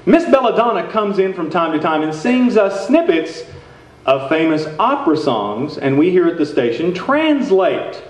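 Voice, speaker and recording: A man's voice: high-pitched, very animated, moderate pace. A middle-aged man playing a courteous, genial radio station announcer in a comic stage show. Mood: genial, formal. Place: theater stage before a live audience. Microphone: stand microphone. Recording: echoey, noisy stage recording.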